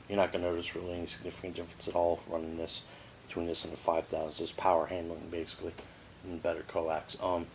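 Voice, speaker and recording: A man's voice: low voice, vocal fry. A man giving a casual hands-on product overview in a rambling, matter-of-fact way, recorded indoors on a tablet microphone. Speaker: product reviewer. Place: living room indoors. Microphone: tablet's built-in microphone. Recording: noisy amateur tablet recording.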